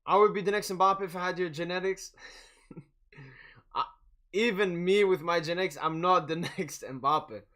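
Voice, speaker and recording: A man's voice: high-pitched, measured pace, pauses often, sharp tone. A young man from Sweden and Algeria hosting a football watch-along in casual, boastful, jokey chat. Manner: boastful, jokey, prone to chuckling. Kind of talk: casual livestream chat, match commentary.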